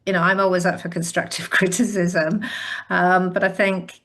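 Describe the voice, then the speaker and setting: Chatty Welsh woman, lowish voice. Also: flat delivery, moderate pace, a bit bright. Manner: chatty, upbeat, with fillers like you know. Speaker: interview guest, practitioner. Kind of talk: casual interview chat.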